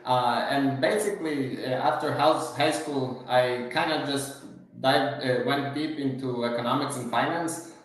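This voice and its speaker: A man from Guatemala giving conversational interview answers as a guest, halting often with hesitations and repeated words. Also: mid-range voice, steady tone. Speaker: interview guest, fund manager. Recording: very echoey, reverberant room.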